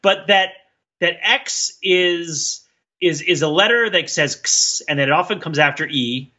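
A man's voice: fairly high pitch, a little slow, bright, forceful tone, highs cut off. A man co-hosting a casual podcast discussion, explaining things in a rambling, digressive way and often checking in with "right?". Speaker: podcast co-host.

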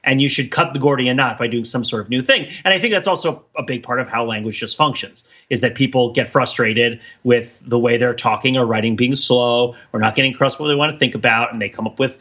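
Male podcast co-host, mid-pitched and bright. Discursive, casual, thinks out loud. Slightly lo-fi sound. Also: brisk, few pauses. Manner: discursive, thinking aloud, often tags points with "right?".

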